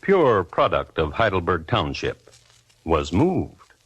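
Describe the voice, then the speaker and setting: A man hosting and narrating a 1950s radio crime drama in a wry, measured storytelling manner. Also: low-pitched, big pitch swings, breathy edge, no room sound, muffled recording. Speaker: host and narrator. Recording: old radio broadcast with music.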